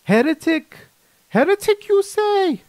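A man's voice: very high voice, very animated, slow pace, a little mellow, dry recording, pitched above the performer's own voice, heavily acted. A man voicing a brash, defensive former soldier in a story, with a folksy, teasing manner. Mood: indignant. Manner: folksy, teasing, calls listener lad and boyo. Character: brash, defensive male ex-guardsman turned defector, cheerfully chiding. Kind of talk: short story character reading.

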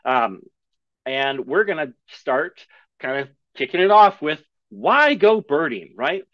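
A man's voice: fairly high pitch, sing-song, takes it slowly, no echo. A young man teaching an online birding class over slides, chatty and enthusiastic, with rhetorical questions. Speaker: birding instructor. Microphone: webcam video call. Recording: dull lo-fi video call audio.

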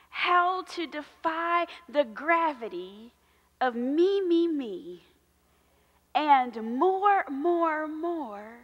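A woman in her thirties, a pastor preaching a sermon in a large church sanctuary with slow, emphatic repetition. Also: sits high, lo-fi sound. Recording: long church hall reverb.

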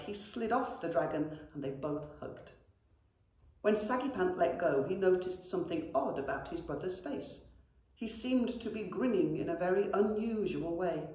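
A woman's voice: lowish voice, a little mellow. A middle-aged woman, a schoolteacher, reading a children's story expressively and addressing children affectionately. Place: indoor school classroom. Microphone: camera a few metres away. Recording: very echoey classroom.

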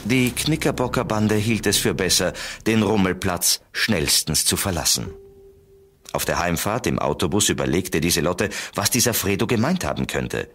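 A man's voice: sits low, lively intonation, moderate pace, fairly bright tone, faint music behind. A man narrating a scripted children's radio play as its storyteller.

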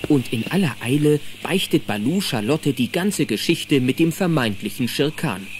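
A man's voice: mid-range voice, lively intonation, moderate pace. A man narrating a children's audio drama in a scripted storytelling style.

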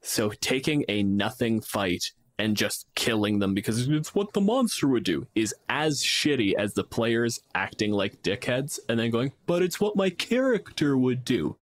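A man's voice: mid-range voice, very animated, unhurried, few pauses, dry recording. A man from Canada co-hosting a podcast, explaining and arguing his points casually with some swearing. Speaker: podcast co-host and game master.

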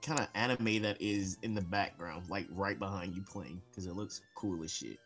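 Low-voiced, profane man bantering. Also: normal speed, fairly bright tone. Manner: blunt, profane, teasing. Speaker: voice chat guest. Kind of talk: casual banter. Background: music.

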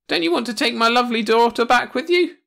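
An irritable alien sloth king, acted out by a man for a comic sci-fi story. The voice is pitched high and loud, whining and blubbering theatrically as it complains. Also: fast, creaky, full character voice. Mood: pleading.

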